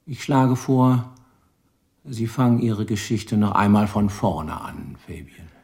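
An actor playing a worldly, probing police lieutenant in a German radio drama, calm and ironic. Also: male voice, on the low side, takes it slowly. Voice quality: gravelly, probing, calmly ironic.

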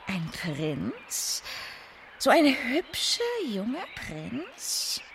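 Wheedling, slow old wicked queen. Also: fairly high pitch, noisy background, full character voice. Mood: scheming, tempted. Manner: wheedling, theatrical. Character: sly old wicked queen disguised as apple seller. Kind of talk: children's radio play acting.

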